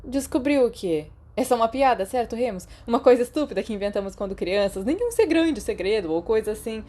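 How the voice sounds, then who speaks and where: A woman with a Brazilian Portuguese accent voicing a brash, earnest teenage schoolboy in an audiobook, with an excitable, emphatic manner. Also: fairly high pitch, fairly quick, voice pushed up. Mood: alarmed.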